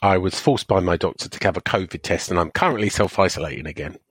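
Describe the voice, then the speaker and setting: Croaky man with a cold. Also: sits low, fast, no echo. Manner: picky, dry complaints. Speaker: podcast co-host.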